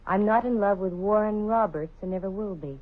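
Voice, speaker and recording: An actress playing a brisk, wry female private eye in 1940s radio style. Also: mid-range voice, natural voice. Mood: searching. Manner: brisk, wry, hard-boiled. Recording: muffled old radio broadcast with hiss.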